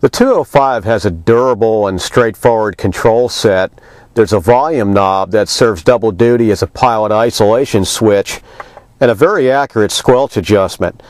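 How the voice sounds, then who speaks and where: A man's voice: fairly low pitch, normal speed, darkish tone. A man, reading a scripted product review as a magazine reviewer.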